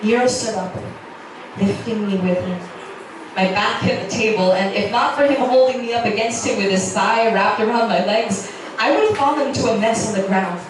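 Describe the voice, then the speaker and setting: A woman's voice: mid-range voice, moderate pace. Woman reading fiction in an echoey room. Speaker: narrator reading a romance novel excerpt aloud. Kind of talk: first-person dramatic literary reading. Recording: roomy echo, distant mic, noisy background.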